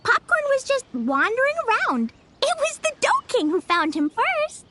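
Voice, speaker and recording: Extremely high, bubbly little girl. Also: wildly swooping pitch, normal speed, bright, forceful tone, heavily acted. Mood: sweet, earnest. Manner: bubbly, childlike. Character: exuberant, sweet little girl. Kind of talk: video game voice acting. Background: children's game music.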